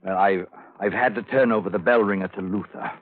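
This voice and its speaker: An actor playing a curt, exacting theater director in a vintage radio drama, with a dry, cutting manner. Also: male voice, lowish voice, steady tone, fairly quick, narrow, tinny audio, lightly acted. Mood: uneasy, reluctant. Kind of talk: 1940s-50s radio suspense acting. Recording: band-limited old radio recording.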